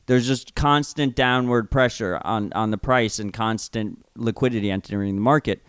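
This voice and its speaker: A man's voice: mid-range voice, normal speed, fairly bright tone, clean tone, dry recording. New york guy cohosting a podcast, blunt, curses a bit, dry close sound. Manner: blunt, swears casually, trails off and restarts. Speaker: podcast co-host. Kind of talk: casual podcast conversation.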